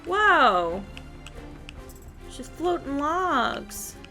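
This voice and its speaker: A woman giving casual, excitable gameplay commentary as she plays. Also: high voice, drawn-out words, creaky, faint music behind. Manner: excitable, gushing. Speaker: gamer, channel host. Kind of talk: casual gameplay commentary, reading quest dialogue aloud.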